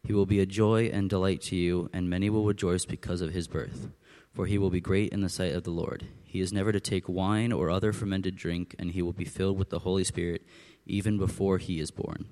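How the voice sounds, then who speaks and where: A man's voice: sits low, fairly even intonation, a little fast, few pauses, warm tone. A man reading scripture aloud as a reader at church.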